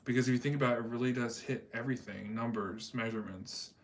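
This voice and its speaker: A man's voice: mid-range voice, steady tone, fast. A man hosting a casual livestream chat, rambling in a talkative way with many fillers. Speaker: livestream host reading chat.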